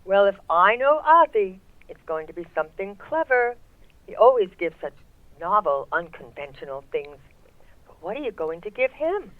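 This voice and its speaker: A woman playing a sweet, genteel mother who gently advises her grown daughter over a telephone filter, in old-time radio comedy style. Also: mid-range voice, big pitch swings, normal speed, no room sound. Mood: coaxing. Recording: thin, phone-like narrow sound.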